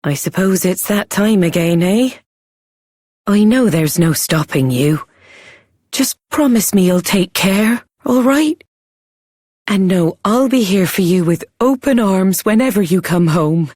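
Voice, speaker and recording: Expressive, breathy British mum. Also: female voice, mid-range voice, unhurried, no room sound, clean studio sound. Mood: bittersweet, loving. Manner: affectionate, motherly, expressive. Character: doting, chirpy middle-aged mother of a young hero. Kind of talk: scripted video game voice acting.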